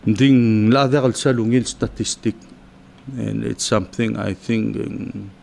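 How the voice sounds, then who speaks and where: A man in his forties with a Palauan accent, chatting in a relaxed, rambling way with fillers and chuckles, speaking into a desk mic in a small radio studio. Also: lowish voice, measured pace, vocal fry. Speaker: senator on a talk show. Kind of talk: casual radio talk, mixing two languages. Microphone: desk mic on a stand.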